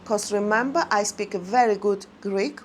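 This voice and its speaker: A woman vlogger chatting casually to her viewers in non-native English, rambling and halting with many fillers. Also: medium pitch, a little hiss or hum.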